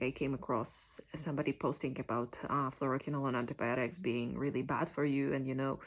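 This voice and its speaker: Polish woman, late 20s to 30s, low voice, halting personal vlog delivery with lots of ums. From Poland. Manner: hesitant, many ums and restarts. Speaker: vlogger sharing a personal story. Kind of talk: casual personal monologue.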